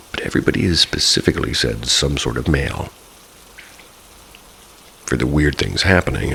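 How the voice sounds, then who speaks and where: A man's voice: extremely low pitch, creaky, a little hiss or hum, rough recording. A man narrating first-person ghost stories from a script, in the style of a bedtime listen. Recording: steady rain ambience behind the voice. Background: rain falling.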